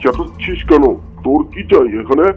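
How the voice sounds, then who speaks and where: A man voicing a domineering tiger landlord in a Bengali cartoon, gravelly and blustering. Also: fairly high pitch, a little fast. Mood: threatening, angry. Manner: blustering, swooping, bossy. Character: bullying, domineering male tiger landlord of the jungle. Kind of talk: Bengali cartoon character dialogue. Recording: dull lo-fi audio with music behind.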